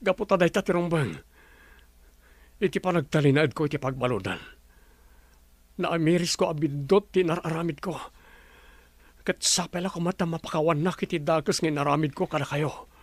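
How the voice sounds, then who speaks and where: A remorseful man newly freed from prison, voiced by an actor in a radio drama. He speaks earnestly and with contrition, in a somewhat high voice. The recording is dry and close. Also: normal speed, long pauses, dry recording. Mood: contrite. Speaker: repentant brother character.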